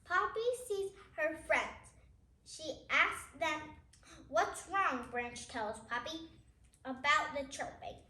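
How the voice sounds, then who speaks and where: Slow, high-pitched child reading aloud. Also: bright, forceful tone. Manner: halting, with childlike commentary. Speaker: child reader, host of a reading channel. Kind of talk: reading a picture book aloud with casual asides. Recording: echoey room.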